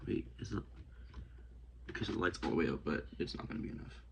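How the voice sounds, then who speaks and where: A young man vlogging casually while working at a desk, rambling and talking to himself with many hesitations and often dropping to a hush. He sounds sniffly and congested. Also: low voice, expressive, fast talker, gravelly. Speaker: outdoors vlogger. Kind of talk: casual hands-on vlog. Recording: noisy home recording.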